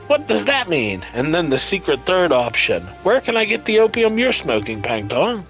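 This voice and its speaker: A man's voice: sits high, wildly swooping pitch, moderate pace, a bit bright, faint music behind. A man doing casual live gameplay commentary as a streamer, irreverent, sarcastic and freely profane. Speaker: game streamer.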